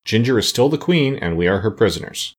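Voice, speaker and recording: Man playing a formal storybook tin man, blunt, principled, husky, swooping pitch. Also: animated, brisk. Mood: resigned. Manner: formal, high-minded. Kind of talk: children's storybook character voice.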